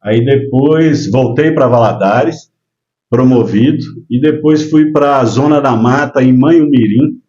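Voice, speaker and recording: A man in his sixties from Minas Gerais, a guest telling his life story in relaxed, casual chat with frequent hesitations. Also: medium pitch, a little slow, muffled recording. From Minas Gerais, Brazil. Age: 60s. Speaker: guest, local businessman.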